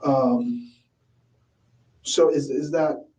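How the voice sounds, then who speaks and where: A male police detective questioning a suspect in a measured, explanatory way during an interrogation. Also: mid-range voice, steady tone, darkish tone. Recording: echoey interview room, distant mic.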